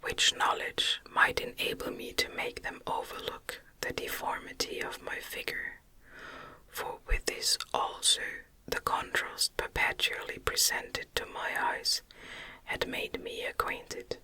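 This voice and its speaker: A woman reading a gothic novel aloud in a gentle, measured ASMR whisper. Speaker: audiobook narrator.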